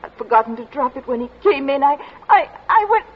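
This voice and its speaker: A woman playing a frightened, distraught young daughter in a radio drama, halting, stammering and tearful as she answers her stern father. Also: sits high, lively intonation. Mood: anguished. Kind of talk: 1940s radio drama acting. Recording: muffled, noisy old radio recording.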